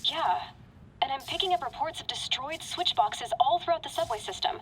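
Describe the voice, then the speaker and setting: A woman's voice: high voice, animated, normal speed, fairly bright tone, a little hiss or hum, low-quality recording. A woman voicing a young ally in a cartoon game, reporting urgently over a radio link. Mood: alert. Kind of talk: cartoon video game acting.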